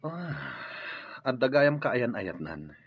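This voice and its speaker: Animated, husky young man, teasing. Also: mid-range voice, slow, full character voice. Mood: curious. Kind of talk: radio drama acting. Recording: dull, slightly echoey radio sound.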